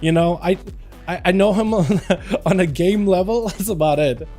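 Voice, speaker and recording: A man's voice: high voice, normal speed, warm tone, faint music behind. A young man from Russia streaming a game and chatting loosely through a headset, full of fillers and restarts. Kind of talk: casual livestream chat.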